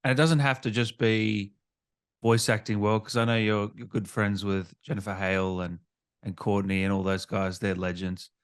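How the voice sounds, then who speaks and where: A man's voice: fairly low pitch, flat delivery, normal speed, smooth. A man interviewing a guest as a gaming show host, in a casual, conversational style.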